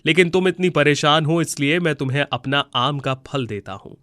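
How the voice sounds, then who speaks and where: Kindly talking tree for a moral fairy tale, played by a man: bright, forward tone, gentle manner, dry recording. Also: on the high side, normal speed, dry recording, natural voice. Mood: consoling. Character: kindly, consoling magical talking mango tree. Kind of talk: Hindi moral storybook voice acting.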